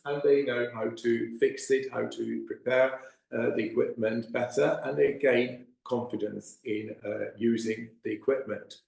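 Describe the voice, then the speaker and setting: A man's voice: mid-range voice, creaky, darkish tone. A middle-aged man, head of science, explaining a class experiment with many hesitations. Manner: hesitant, many ums and uhs. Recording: very echoey room.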